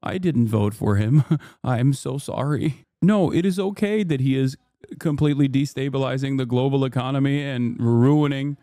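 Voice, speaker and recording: A man's voice: mid-range voice, normal speed, mellow, no room sound, clean studio sound. A man in his thirties streaming live, reacting to news clips with sarcastic, profane commentary. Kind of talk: casual livestream commentary.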